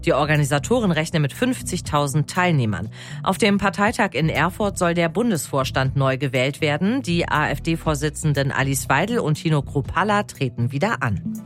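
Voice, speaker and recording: A radio newsreader reads the headlines at a middle pitch in a neutral, even style. Faint music sits underneath. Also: normal speed. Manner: neutral, even news delivery. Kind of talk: scripted news reading.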